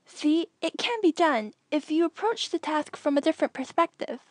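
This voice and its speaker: Woman voicing an earnest young boy, high-pitched. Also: animated, normal speed, dry recording. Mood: explaining. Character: earnest, thoughtful young boy in a recorded hologram message. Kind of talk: audiobook character reading.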